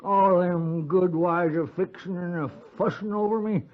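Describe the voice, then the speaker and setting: An actor playing a wheedling, boozy frontier husband with a rambling, stammering American drawl, in 1950s radio Western style. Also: male voice, high voice, fairly even intonation, unhurried. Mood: maudlin self-pity. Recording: muffled 1950s radio recording.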